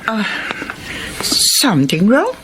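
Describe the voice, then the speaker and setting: A suspicious, concerned wife and mother in a radio melodrama. She questions in a medium-pitched voice, with dramatic concern. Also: wildly swooping pitch, takes it slowly, background noise. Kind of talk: Filipino radio melodrama acting.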